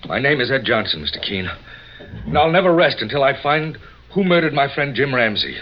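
Guy playing scared earnest young fella, melodramatic, pitch all over, muffled old radio drama. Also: sing-song, fairly quick, background noise, lightly acted. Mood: earnest, troubled. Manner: agitated, melodramatic. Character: frightened, earnest young man reporting a murder. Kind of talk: 1940s radio drama acting.

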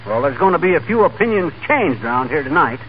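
An actor playing a brash, boastful middle-aged husband in a 1940s radio comedy. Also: male voice, fairly high pitch, very animated, quick pace. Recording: muffled noisy old radio recording.

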